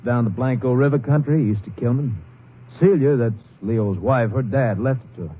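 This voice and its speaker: A harried male foreman, played by an actor talks in a plain, folksy, gruff way at a medium pitch. The vintage radio sound is narrow and lightly noisy. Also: normal speed, lightly acted. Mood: helpful. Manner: plain-spoken, folksy, harassed. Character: harried, gruff but helpful male cotton compress foreman. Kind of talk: 1940s-50s radio drama acting. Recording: muffled, noisy old radio recording.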